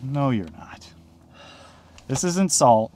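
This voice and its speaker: A man's voice: medium pitch, lively intonation, takes it slowly, warm tone, slight background noise. Cocky young bumbling agent, a man acting. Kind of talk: amateur comedy sketch acting.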